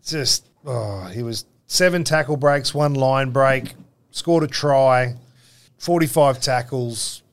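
A man's voice: mid-range voice, takes it slowly, smooth. A man co-hosting a sports podcast, chatting casually and rambling about players with easy laughs. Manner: laid-back, rambling, laughs easily. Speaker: podcast co-host.

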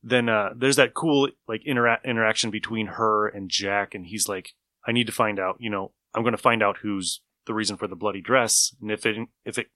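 A man's voice: fairly low pitch, steady tone, a little fast, sharp tone, dry recording. A man in casual chat, laid-back and agreeable.

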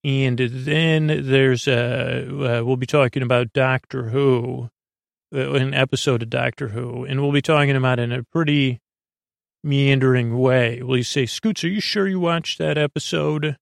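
Meandering man with a creaky, gravelly voice. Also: medium pitch, fairly even intonation, no echo, clean studio sound. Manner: meandering, halting, with trailing thoughts and self-corrections; soothing, lulling. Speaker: host of a bedtime sleep podcast. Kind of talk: rambling bedtime monologue.

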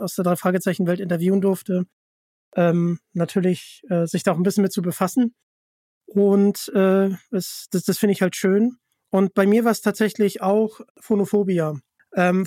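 A podcast host chatting casually in an interview, with a halting delivery that often repeats words. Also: mid-range voice, a little mellow, dry recording, studio quality.

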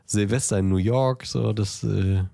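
A man's voice: fairly low pitch, steady tone, measured pace, vocal fry, mellow, dry recording. A man co-hosting a podcast, chatting casually about films and often searching for words. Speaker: podcast co-host.

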